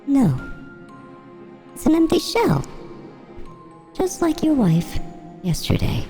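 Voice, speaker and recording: An eerie little ghost girl, acted out by a man for a horror story. The voice is high and swoops as it taunts, slow and sinister. It is pitched up and rings through a long echo, with music underneath. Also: huge pitch swings, mellow, heavily acted. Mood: cold, knowing. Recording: long cavernous reverb, music behind.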